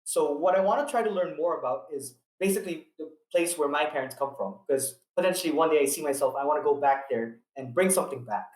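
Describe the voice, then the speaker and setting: A young man in his twenties, a university student from Saipan, speaks in a fairly high voice. He asks his questions politely and earnestly, with a few restarts. His voice rings out in an echoey room. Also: quick pace, hardly any pauses, a little mellow. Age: 20s. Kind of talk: interview answers and questions.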